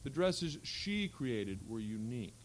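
A man with a mid-pitched voice reads a novel excerpt aloud to a live event. His delivery is measured and articulate, in the manner of literary storytelling. A faint hum and light noise sit behind him. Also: very animated, poor audio. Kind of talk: reading literary fiction aloud. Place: live public reading at an event.

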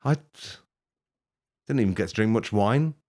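Deep-voiced man riffing absurdly. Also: moderate pace. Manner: rambling, deadpan absurd, swears and laughs at own jokes. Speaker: podcast host. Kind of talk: improvised mock tour-guide comedy bit.

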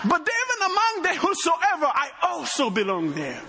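A man's voice: very high voice, wildly swooping pitch, normal speed, bright, forceful tone, long reverb tail. A man from Rwanda, with his own accent and a slight Texan touch, preaching as a guest pastor, emphatic and full of repeated phrases and call-outs. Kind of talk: sermon.

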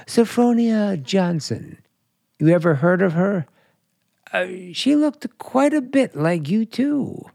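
A folksy, forward hotelkeeper in a radio drama. He talks slowly in a creaky, very lively voice, casual and flirtatious. The sound is close and dry. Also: high-pitched, no room sound, exaggerated voice. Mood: chatty, probing. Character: folksy, forward middle-aged hotelkeeper, a flirtatious male stranger. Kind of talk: radio play acting.

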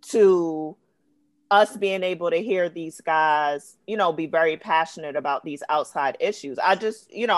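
A woman's voice: lowish voice, no echo. A woman on a podcast panel, explaining her view emphatically in a casual sports discussion.

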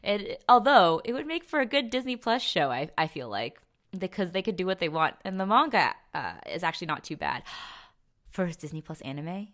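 A woman's voice: fairly low pitch, sing-song, normal speed, fairly bright tone. A young woman co-hosting a podcast, chatting casually about pop culture and rambling as she thinks aloud. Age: young adult. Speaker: podcast co-host.

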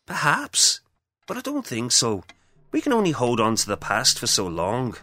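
An actor playing a solemn, probing priest who investigates spirits in an audio play, grave and cryptic in manner. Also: male voice, mid-range voice, huge pitch swings, moderate pace, sharp tone, full character voice. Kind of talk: dramatic audio play acting.

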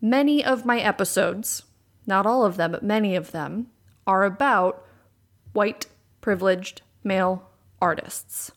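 Raspy woman with heavy vocal fry. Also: medium pitch, a little slow. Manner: candid, emphatic, repeats for stress. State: voice worn from repeated recording. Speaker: podcast host. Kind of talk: casual solo podcast talk. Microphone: USB condenser microphone.

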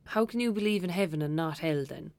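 A woman's voice: sits low, expressive, fast, a little mellow, no echo. A woman playing a blunt, sceptical young girl with an Irish accent in an audio drama, speaking in a casual, colloquial way. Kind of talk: naturalistic audio drama acting.

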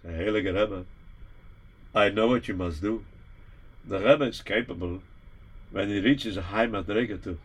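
A man voicing a humble follower who speaks low and hushed, pleading respectfully. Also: measured pace, pauses often. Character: humble devoted male follower, wheedling.

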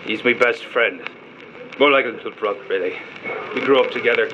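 An actor playing a hot-tempered, loyal stowaway prisoner, defiant and emotional, in an audio drama. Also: male voice, medium pitch, a little fast, dull audio, noisy background, close to an everyday voice. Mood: pleading, earnest. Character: hot-tempered lower-class stowaway prisoner, fiercely loyal to his friend.

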